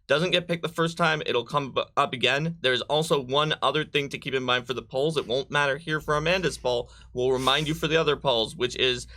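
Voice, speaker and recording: A man's voice: medium pitch, fairly quick, few pauses, sharp tone. A man co-hosting a live stream, casually explaining game rules to viewers.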